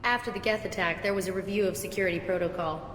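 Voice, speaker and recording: A woman's voice: steady tone, fast, a bit bright, close to an everyday voice. Actress as clipped female security officer, echoey. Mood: formal, guarded. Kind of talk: video game voice acting. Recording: echoey, reverberant, background rumble.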